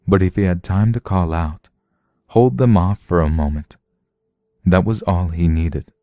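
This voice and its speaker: A man with a low, dark voice narrates a suspense story. He reads slowly and deliberately, in a measured dramatic style, recorded close and dry. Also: no echo.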